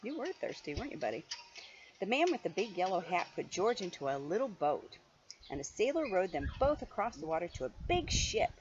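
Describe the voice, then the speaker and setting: A mature woman reading a picture book aloud to a child in an animated, playful way, with chatty asides. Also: on the low side, brisk. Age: middle-aged or older. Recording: dull lo-fi home recording, faint noise.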